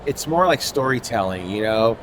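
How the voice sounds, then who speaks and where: A man's voice: medium pitch, expressive, normal speed, fairly bright tone, a little hiss or hum, low-quality recording. A man from Haiti, an artist, talking loosely in an interview at an outdoor art fair. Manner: loose and rambling, leaning on filler phrases. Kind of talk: casual interview.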